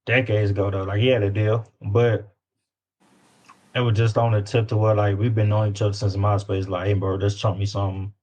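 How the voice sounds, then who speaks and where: Male interview guest, low voice, Southern US accent, loose slangy delivery that circles back over phrases. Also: little pitch movement, fairly quick, darkish tone. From Louisiana. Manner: informal slangy, repeats phrases. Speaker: independent rapper guest. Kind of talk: casual interview chat.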